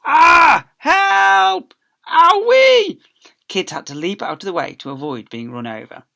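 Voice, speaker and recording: A man's voice: medium pitch, expressive, fast, gravelly, fairly bright tone. A man, a teacher reading aloud, tells a children's story expressively, with theatrical emphasis and phrases that drop away at the end.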